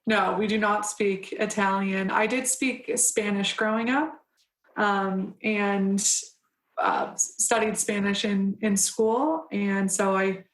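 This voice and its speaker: A young adult woman council member answering interview questions in an earnest, measured way, with frequent pauses and restarts. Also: mid-range voice, echoey room, rough recording.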